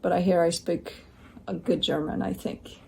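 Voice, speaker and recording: Gravelly-voiced woman. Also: on the low side, steady tone, normal speed, mellow, a little hiss or hum. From Romania. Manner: chatty, rambling, blunt asides. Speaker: tarot reader. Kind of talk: casual tarot reading. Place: home by a window with a bird feeder.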